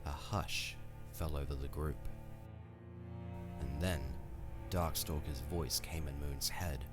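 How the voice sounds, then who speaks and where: A man narrates a scripted fantasy audio drama in an extremely deep voice. Music plays clearly behind his storytelling. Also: fairly even intonation, takes it slowly.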